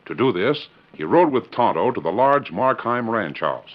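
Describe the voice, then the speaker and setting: A man's voice: mid-range voice, big pitch swings, moderate pace. A man with an American accent giving formal, polished dramatic narration as a 1940s radio announcer. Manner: formal, polished storytelling delivery. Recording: muffled vintage radio recording.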